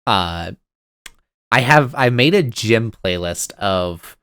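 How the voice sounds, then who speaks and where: Young male co-host, excitable and rambling, lower voice, smooth tone. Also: no echo. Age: 20s. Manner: excitable, rambling, quick to laugh. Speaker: podcast co-host. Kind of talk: casual chat.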